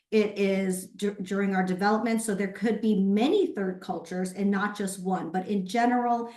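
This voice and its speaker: A woman's voice: mid-range voice, darkish tone. A woman presenting at a webinar, explaining things step by step and posing rhetorical questions. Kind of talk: explanatory lecture with brief acknowledgements of participants. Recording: roomy, echoey sound.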